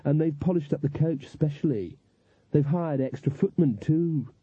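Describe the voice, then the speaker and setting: A man's voice: on the high side, normal speed, breathy edge, pitched above the performer's own voice. A man voicing a resigned, bitter young woman who speaks in a guarded way, in an audiobook story. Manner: gloomy, guarded. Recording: echoey, narrow phone-like audio.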